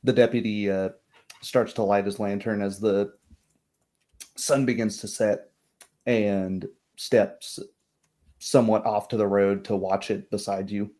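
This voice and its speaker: A man narrating a tabletop roleplaying game as game master, in a halting, descriptive way. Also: on the low side, flat delivery, mellow.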